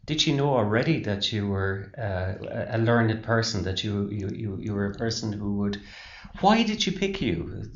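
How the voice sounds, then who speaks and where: A man with a low voice interviews a guest about her life, asking hesitant, stammering questions. The recording is dull and lo-fi. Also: expressive, moderate pace, echoey room.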